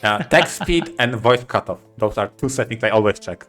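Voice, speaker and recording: A man co-hosting a podcast, giving opinions casually in a halting way, repeating short phrases for emphasis. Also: lowish voice, lively intonation, a bit bright, faint music behind. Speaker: podcast co-host.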